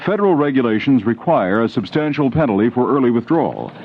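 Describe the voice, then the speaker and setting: Male announcer, mid pitch, very varied intonation, scripted ad, lo-fi broadcast with faint noise. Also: big pitch swings, quick pace. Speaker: commercial announcer. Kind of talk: scripted radio commercial read. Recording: dull, noisy old radio broadcast.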